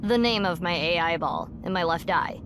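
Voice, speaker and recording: A woman playing a calm, composed agent. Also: lowish voice, normal speed, noisy background, lightly acted. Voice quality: calm, matter-of-fact delivery. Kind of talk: video game voice acting. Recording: game audio with background music.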